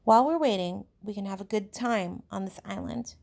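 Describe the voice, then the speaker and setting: A woman's voice: mid-range voice, moderate pace, creaky, a little mellow, lightly acted. Woman reading as an earnest schoolboy leader. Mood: upbeat, reassuring. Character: earnest, fair-minded schoolboy leader, about twelve. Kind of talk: storybook character voice.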